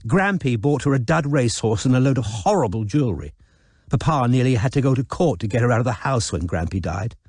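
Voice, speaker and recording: A man's voice: medium pitch, lively intonation, quick pace, a little mellow, slightly rough, no room sound, clean studio sound. A male narrator voicing a chatty, upper-class young woman in an audiobook. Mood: gossipy.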